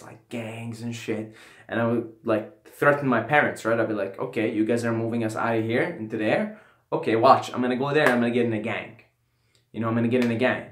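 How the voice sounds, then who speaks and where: A man's voice: fairly low pitch, fairly bright tone. Rambling young man in an echoey room. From Syria. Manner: rambling, peppered with "right?" and "you know". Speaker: vlogger. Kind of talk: casual storytelling.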